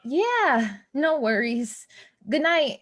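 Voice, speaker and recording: An actress playing an anxious, plainspoken young building employee in a naturalistic play reading. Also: female voice, high-pitched, big pitch swings, very slow, fairly bright tone, heavily acted. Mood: casual, friendly. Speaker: actor in a staged play reading. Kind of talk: naturalistic stage dialogue reading.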